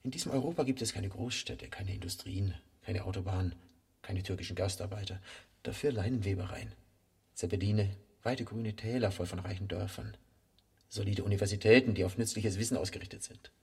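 An actor playing a calm, eerie devil who guides and lectures in a measured way in a radio play. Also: male voice, fairly low pitch, exaggerated voice. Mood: solemn, explanatory. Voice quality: husky, gravelly. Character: devil, calm eerie male guide through unrealized worlds. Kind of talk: German radio play acting.

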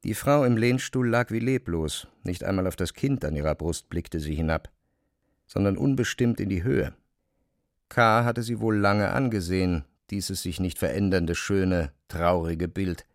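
A man narrating a literary radio drama in German in a measured, deliberate way. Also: low-pitched, no room sound, studio quality.

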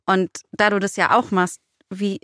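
A woman of average pitch chats as a co-host. She sounds reflective and a little hesitant, recorded dry in a studio. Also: little pitch movement, a little slow, a bit bright, no echo. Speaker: podcast co-host, screenwriter. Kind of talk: casual conversation.

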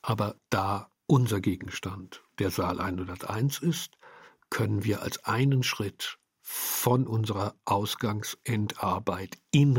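A man with a rather low, husky voice reflects on radio work in an interview. His sentences are long, formal and intellectual, winding through clauses. The sound is close and dry. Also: no room sound. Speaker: radio play maker.

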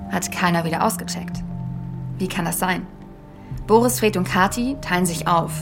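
An actress playing an earnest young podcaster, speaking in a casual, slightly hesitant storytelling style. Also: female voice, on the low side, lightly acted. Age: young adult. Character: earnest young female podcaster haunted by a childhood memory. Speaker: podcast host and narrator. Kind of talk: conversational first-person audio drama storytelling.

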